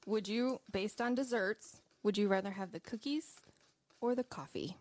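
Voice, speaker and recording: A woman's voice: medium pitch, normal speed, darkish tone. A woman co-hosting a casual live morning show, light and teasing in manner. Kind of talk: casual live-stream chat.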